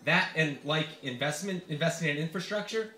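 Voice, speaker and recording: Earnest, halting young male reporter, acted by a man at a reading, fumbling questions, roomy echo. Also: a little high, sharp tone, slight background noise. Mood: earnest, flustered. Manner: halting, peppered with "like".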